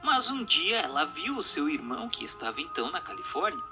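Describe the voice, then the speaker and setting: A man with a Brazilian Portuguese accent reads a book aloud as a narrator, in formal, measured, dramatic storytelling. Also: on the high side, sing-song. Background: music.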